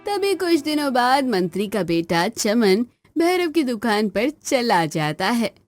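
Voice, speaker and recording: A woman's voice: fairly high pitch, big pitch swings, slow pace, faint music behind. A woman narrating a scripted children's story.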